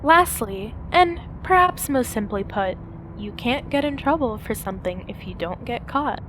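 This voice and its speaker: A cynical pony survivor, played by a woman, tells her story in a fairly high voice. The delivery is measured and dramatic, scripted like a radio play and given to rhetorical questions. Clear background noise sits behind the narration. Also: lively intonation, lightly acted. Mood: wry, matter-of-fact. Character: cynical female pony survivor raised in an underground bunker. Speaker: narrator and main character.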